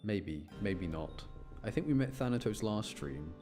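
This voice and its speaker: Man, low-pitched voice, casual game streamer, chatty running commentary, clear music behind. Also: expressive, unhurried. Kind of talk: casual live gameplay commentary.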